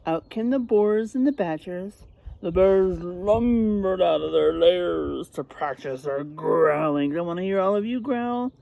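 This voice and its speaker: Unhurried, animated woman reading to children. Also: on the low side, creaky, no echo, poor audio. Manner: teacherly, prompting listeners with questions. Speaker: read-aloud storyteller for children. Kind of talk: expressive picture-book read-aloud with questions to children.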